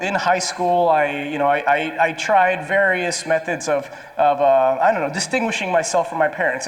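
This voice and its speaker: A man with an American accent giving an informal, conversational, self-deprecating lecture with personal anecdotes. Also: on the high side. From born in Peru, grew up in the United States. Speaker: lecturer, dental implant surgeon. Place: indoor lecture hall with an audience. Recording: echoey hall with long reverb.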